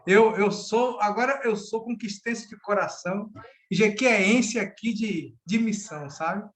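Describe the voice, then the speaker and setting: A man's voice: sits high, unhurried, a bit bright. A middle-aged man from Salvador, a pastor giving an informal Bible study over a video call, chatty and often ending with a tag question. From Salvador, Bahia, Brazil.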